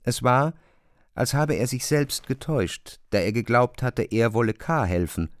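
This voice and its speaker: A man narrates a literary radio play in diction. His delivery is measured and deliberate, and the studio sound is close and dry. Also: on the low side, no room sound.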